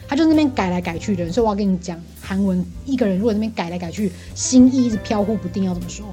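一位年輕的台灣女性，台灣腔中文，像在播客裡閒聊抱怨，講話直白又愛感嘆。. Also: female voice, mid-range voice, animated, rapid-fire, mellow, soft background music. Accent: Taiwanese Mandarin. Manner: talkative, exclamatory, bluntly complaining. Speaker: podcast co-host venting about work. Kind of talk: casual chat.

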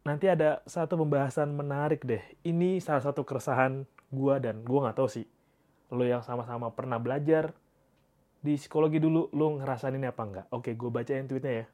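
A man's voice: medium pitch, a little fast, warm tone. Stuffy-nosed man chatting casually. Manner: casual slangy, reads tweets aloud. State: congested, stuffy nose. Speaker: podcast host. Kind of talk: casual solo podcast talk.

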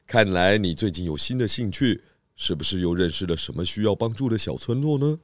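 A man with a Taiwanese Mandarin accent playing a calm, clever pig detective in a children's radio drama, talking in a measured, persuasive way. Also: sits low, dry recording, studio quality. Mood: calm, knowing.

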